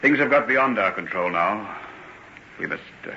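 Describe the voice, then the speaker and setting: An actor in an old-time radio play as a commanding, grave gentleman who speaks formally and decisively. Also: on the low side, expressive, normal speed. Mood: grave, controlled. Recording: muffled, very hissy old radio recording.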